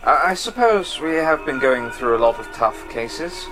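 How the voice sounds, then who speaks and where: Man acting a lively-pitched, earnest occult mentor. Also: unhurried, music in the background, poor audio. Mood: grave, foreboding. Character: male occult investigator mentor, cryptic, earnest, slightly awkward. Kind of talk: audio drama dialogue.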